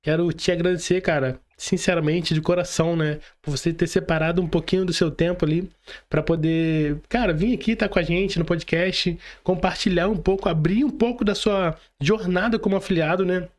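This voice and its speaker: A man's voice: high-pitched, steady tone, moderate pace. A Brazilian man speaking casually as a podcast host, in informal, slangy talk addressed straight to his listeners. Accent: Brazilian Portuguese.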